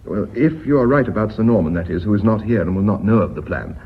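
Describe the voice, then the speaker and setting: An actor playing an earnest, loyal company secretary in a radio drama, polite, precise and persuasive. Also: male voice, fairly low pitch, expressive, fast, slightly rough. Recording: muffled, hissy old radio recording.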